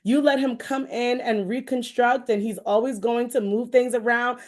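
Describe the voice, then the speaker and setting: Higher-pitched, encouraging woman podcast host. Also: steady tone, normal speed, darkish tone. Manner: encouraging, emphatic, repeats phrases. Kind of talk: motivational faith-based conversation.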